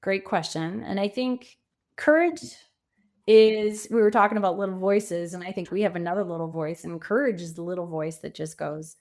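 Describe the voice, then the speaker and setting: A woman speaking as a coach and podcast guest, reflective and conversational, with emphatic phrasing and deliberate pauses. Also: fairly low pitch, darkish tone. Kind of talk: conversational interview.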